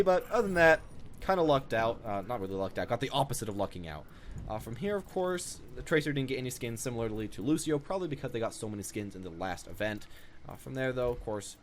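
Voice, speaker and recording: Lively, fast-talking young man with background noise. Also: mid-range voice. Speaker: gaming video creator. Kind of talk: casual off-the-cuff game showcase commentary.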